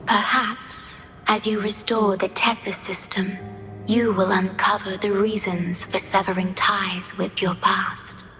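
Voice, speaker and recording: An actress playing a serene, mysterious guardian in a video game, speaking softly and formally in a measured, gentle, devoted way. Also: female voice, medium pitch, level tone, faint music behind. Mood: gentle, solemn. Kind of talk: scripted video game voice acting.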